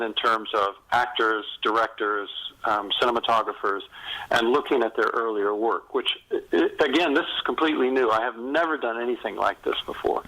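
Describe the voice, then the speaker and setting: A man's voice: medium pitch, bright, forceful tone, rough recording. A middle-aged man, an author, talking conversationally as a guest in a podcast interview.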